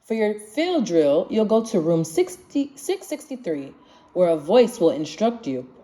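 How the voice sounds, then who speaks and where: A woman reading a children's rhyming picture book aloud in an expressive, singsong way. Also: mid-range voice, a little slow, low-quality recording. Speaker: storybook reader.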